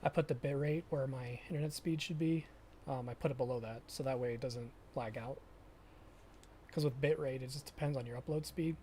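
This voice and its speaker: A young man chatting casually with viewers during a live stream of a farming game, often pausing and restarting his sentences. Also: medium pitch, dark tone, a little hiss or hum. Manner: halting, self-correcting, chatty with viewers.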